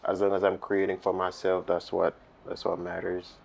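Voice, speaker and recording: A man's voice: sits low, monotone, normal speed, gravelly, darkish tone, slight background noise. A young man from Haiti with a Haitian accent, a painter in a documentary interview, speaking reflectively with loose phrasing and many fillers. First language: Haitian Creole.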